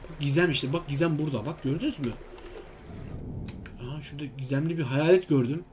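Man, high voice, casual game commentary, exclamatory, chatting to viewers and himself, slight background noise. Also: fairly quick, darkish tone. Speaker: gaming video host.

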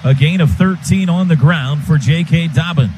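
A man with an American accent and a fairly high voice works as a football radio announcer. He describes the action in short clipped phrases, live from the booth, with faint background noise. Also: moderate pace. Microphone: broadcast booth microphone. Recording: live stadium broadcast, faint ambient noise.

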